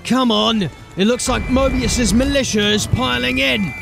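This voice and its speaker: A stubborn watch sergeant in a fantasy audio drama. His delivery is gruff and blunt, pitched fairly high for a man, with music behind him. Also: steady tone, measured pace, full character voice. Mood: urgent. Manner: blunt, urgent.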